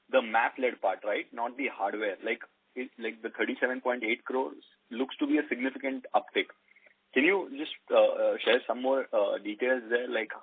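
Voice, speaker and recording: A husky-voiced man speaks on a dry, narrow phone line. He puts questions conversationally, as an analyst on a company call. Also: medium pitch, dry recording.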